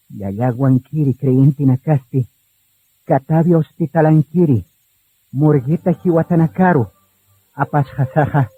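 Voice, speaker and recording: Husky man narrating, narrow phone-like sound. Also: on the high side. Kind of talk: solemn radio drama narration. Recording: narrow phone-like radio recording.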